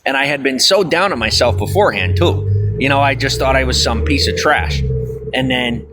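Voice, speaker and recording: A man's voice: medium pitch, lively intonation, normal speed, vocal fry, over music. A man livestreaming, chatting casually with viewers and reading their comments, rambling with lots of fillers and folksy asides. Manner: folksy, often says "I'll tell you what".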